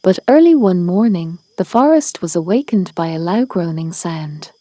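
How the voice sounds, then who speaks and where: A woman's voice: fairly low pitch, lively intonation, moderate pace, mellow, dry recording, studio quality. A woman narrating a children's storybook as a read-aloud. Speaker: storybook reader.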